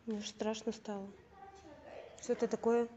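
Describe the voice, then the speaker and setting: A woman in her thirties filming a casual travel vlog at arm's length, chatty and quick to laugh and exclaim. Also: a little high, level tone, crowd noise. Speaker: vlogger. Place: outdoors in a city and indoors in a museum. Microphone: handheld camera at arm's length. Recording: dull lo-fi camera audio with street noise.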